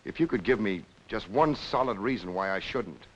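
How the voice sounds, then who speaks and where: An actor playing a hard-nosed police lieutenant in a 1940s crime film, curt, dry and probing. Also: male voice, fairly low pitch, lively intonation, normal speed, muffled recording. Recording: hissy 1940s film soundtrack.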